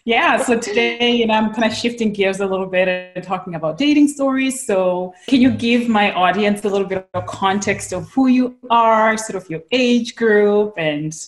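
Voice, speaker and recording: A woman's voice: mid-range voice, normal speed, hardly any pauses. A middle-aged woman hosts a casual podcast interview from a room at home. She asks her questions in a friendly, encouraging way, with hesitant fillers, and her voice comes through the webcam mic of a video call. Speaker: podcast host.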